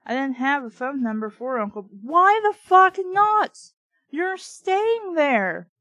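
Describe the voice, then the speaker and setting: A woman's voice: high-pitched, sing-song, slow pace, fairly bright tone, dry recording. A woman reading a story aloud and breaking into casual, sarcastic, exasperated commentary. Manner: sarcastic, exasperated asides with swearing. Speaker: book reader and commentator. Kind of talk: audiobook-style reading with casual asides.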